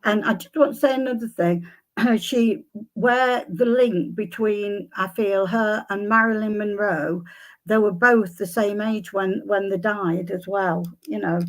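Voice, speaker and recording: An older woman, a psychic guest, answering questions with many pauses and restarts, her voice a little croaky. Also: medium pitch, fairly even intonation, poor audio. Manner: hesitant, many ums and restarts. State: slightly croaky voice. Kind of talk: conversational interview answers.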